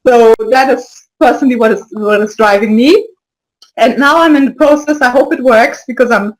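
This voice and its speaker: A woman speaking as an interview guest in casual talk, halting, with many restarts and soft laughs. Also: on the high side, poor audio. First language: not French. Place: lives in Brussels area.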